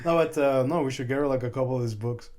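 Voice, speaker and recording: A man's voice: mid-range voice, fast, a little mellow. A young adult man hosting a podcast, joking around casually with frequent restarts. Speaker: podcast host. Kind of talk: casual chat.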